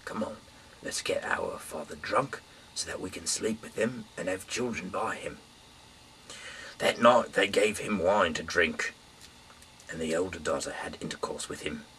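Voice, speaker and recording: Male, low but forceful voice, informal dramatic scripture reading, recorded at a distance. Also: a little slow. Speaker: Bible reader. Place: small dim room indoors. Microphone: camera mic from a little way off. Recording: distant mic, faint background hiss.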